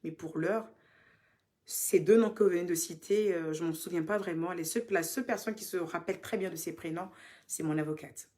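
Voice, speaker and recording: A young woman with an Ivorian French accent, chatting casually as she reads out fan questions and answers them, teasing and affectionate, sometimes dropping to soft, quiet asides. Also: fairly low pitch, fairly quick. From Côte d'Ivoire. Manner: chatty and teasing, calls fans "ma chérie". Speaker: social media personality answering fan questions. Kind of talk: casual live Q&A reading viewer comments.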